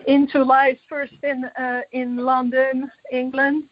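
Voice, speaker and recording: Slow, high-voiced woman reflecting. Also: level tone. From Poland. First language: Polish. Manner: halting, reflective, searching for words. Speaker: interview guest, artist and author. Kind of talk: personal life story in an interview. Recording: muffled, echoey room recording.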